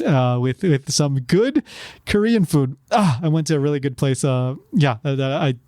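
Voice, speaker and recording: A man's voice: a little high, darkish tone, no echo. Man rambling casually, dry close mic. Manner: rambling, many fillers and restarts. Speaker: podcast host. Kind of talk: casual solo podcast talk.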